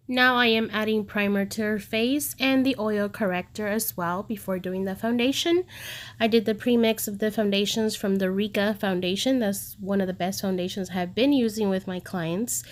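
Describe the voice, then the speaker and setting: Woman with a stuffy, cold-clogged nose. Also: mid-range voice. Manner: step-by-step instructive, casual. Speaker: makeup artist. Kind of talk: voice-over makeup tutorial. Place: home studio, recorded separately as voice-over.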